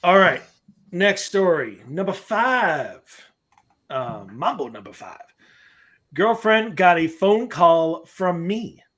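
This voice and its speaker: A man with a fairly high speaking voice, chatting from home over a webcam call. He is playful and jokey and laughs often. When he reads a listener story aloud, he slips into a sing-song delivery. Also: big pitch swings, slow, fairly bright tone. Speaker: podcast co-host. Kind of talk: casual chat, reading a listener story aloud. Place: room at home.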